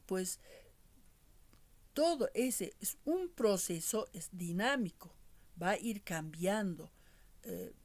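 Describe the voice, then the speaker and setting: A woman giving a class lecture in a measured way, often ending her points with tag questions to her students. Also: medium pitch, a little mellow.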